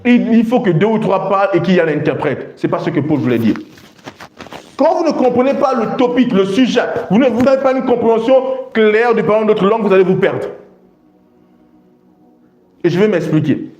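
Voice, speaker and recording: A man's voice: high voice, expressive, brisk, a little mellow, lo-fi sound. A man preaching a sermon, reading and explaining scripture emphatically and repeating phrases for stress. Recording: echoey room sound.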